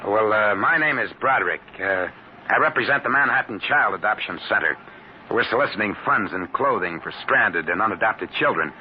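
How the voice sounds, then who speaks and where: An actor as a jittery young private eye. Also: male voice, on the low side, expressive, a little fast, lightly acted. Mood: polite hesitant. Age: young adult. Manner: hesitant, stammers with many "uh"s. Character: nervous young private detective, drugged and scared. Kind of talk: 1950s radio drama acting. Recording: muffled old radio broadcast with hiss.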